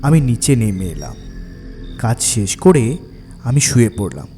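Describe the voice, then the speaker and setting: A man's voice: medium pitch, measured pace. A man narrating a scripted first-person horror story in a suspenseful, dramatic way. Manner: suspenseful, expressive storytelling delivery. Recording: long reverb, faint music bed.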